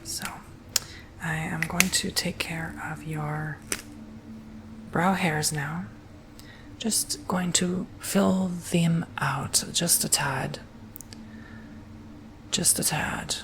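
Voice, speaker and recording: A man whispering softly and gently through an ASMR roleplay, as if doing someone's makeup. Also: on the high side, fairly even intonation, background noise. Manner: soft whispering, slow and gentle.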